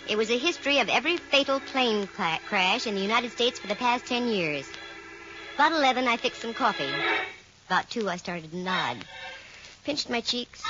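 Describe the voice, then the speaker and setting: A woman playing a brisk, sharp-witted private detective, acting and narrating in the style of 1940s radio. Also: medium pitch, natural voice. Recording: muffled vintage radio recording. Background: music.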